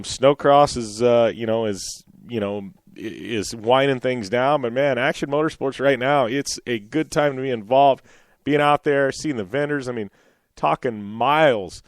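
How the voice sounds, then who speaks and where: A man hosting a radio show in a casual, rambling way, full of "you know" and restarts. His voice is a little raspy from a cold, yet it still comes through smooth and resonant. The sound is close and dry, with no room behind it. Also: medium pitch, normal speed, no echo. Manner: rambling, many fillers and restarts. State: slightly raspy from losing his voice.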